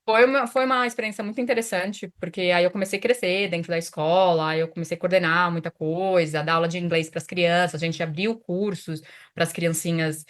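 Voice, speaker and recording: A woman's voice: fairly low pitch, fairly even intonation, brisk, few pauses. A woman from Brazil in her thirties, a guest in a casual interview, talking chattily with many restarts and fillers. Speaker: guest, immigration consultant.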